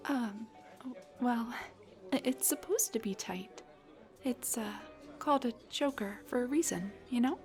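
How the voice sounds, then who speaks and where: A woman's voice: a little high, unhurried, darkish tone, faint music behind, close to an everyday voice. A woman playing a shy, nervous dullahan on a blind date in an intimate audio roleplay, speaking in a flustered, hesitant, rambling way. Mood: shy, pleased. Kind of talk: intimate scripted audio roleplay addressed to the listener.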